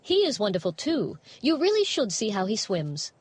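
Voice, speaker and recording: A woman voicing a proud mother duck, gently defensive, in a children's fairy tale. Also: medium pitch, huge pitch swings, moderate pace, slight background noise. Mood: protective.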